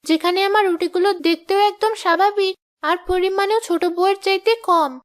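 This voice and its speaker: Very high-pitched young daughter-in-law. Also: female voice, moderate pace. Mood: meek. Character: young daughter-in-law, eager to please her elder. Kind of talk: animated fairy-tale cartoon voice acting.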